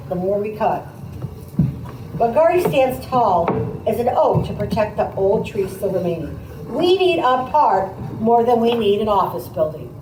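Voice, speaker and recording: A woman teacher reading a picture book aloud, pausing to comment and put questions to her listeners in a conversational way. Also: medium pitch. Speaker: teacher demonstrating a read aloud to pupils. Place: echoey indoor classroom. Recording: roomy, distant mic, faint background noise.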